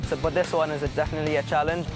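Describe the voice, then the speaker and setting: A man's voice: a little high, flat delivery, racing, music in the background. A sixteen-year-old young man from Oman, a racing driver answering interview questions.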